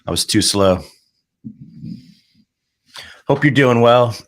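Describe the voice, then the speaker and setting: A man who is under the weather with a cold, reading a daily devotional aloud. He pauses between passages to share relaxed, conversational thoughts. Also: mid-range voice. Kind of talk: devotional reading with casual commentary.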